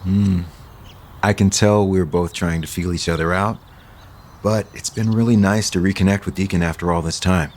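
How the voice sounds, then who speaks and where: An actor playing a gentle, earnest, considerate man in an audio soap opera. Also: sits low, fairly even intonation, moderate pace. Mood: reflective.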